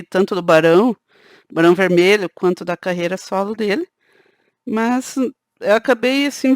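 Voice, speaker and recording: A woman with a southern Brazilian caipira lilt, speaking in a relaxed, chatty way straight to her viewers. Her voice sits at an ordinary middle pitch. The sound is close and dry, with no room echo. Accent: Brazilian Portuguese, southern countryside (caipira). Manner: conversational, peppers sentences with "né". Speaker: channel host. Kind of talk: casual talk to camera.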